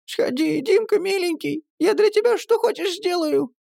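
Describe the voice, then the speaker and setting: A storyteller voicing a pale, frightened village girl who lisps and chatters fast. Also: high voice, lively intonation, creaky, no room sound, clean studio sound, voice pushed up. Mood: eager, pleading. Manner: lisping, mumbling, rapid chatter.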